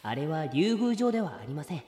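Male actor as polite turtle character, eager, lonely, easily flustered, very animated intonation, comedic radio drama. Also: on the high side, fairly quick, breathy edge, voice pushed down. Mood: wistful. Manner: polite, excitable, pleading.

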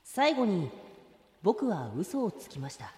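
A man's voice: high voice, slow, slightly rough, full character voice. A man acting an eager, polite and lonely turtle who gets flustered, in a comedic radio drama. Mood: wistful. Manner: polite, excitable, pleading.

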